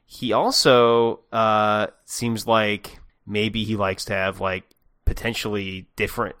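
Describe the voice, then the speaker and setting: A man's voice: on the low side, little pitch movement, takes it slowly, fairly bright tone, clean tone, dry recording, clean studio sound. A man co-hosting a podcast, rambling playfully through his theories in casual conversation. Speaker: podcast co-host.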